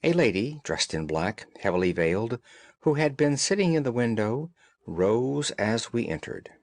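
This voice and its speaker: A man reading scripted storybook narration as an audiobook narrator, in an expressive way. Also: medium pitch, moderate pace, dry recording.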